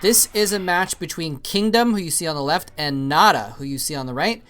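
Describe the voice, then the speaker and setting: A man's voice: on the high side, brisk, fairly bright tone. A young man hosting and commentating an old esports match solo, chatty and rambling with many fillers, lighting up at the plays. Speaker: esports commentator, show host.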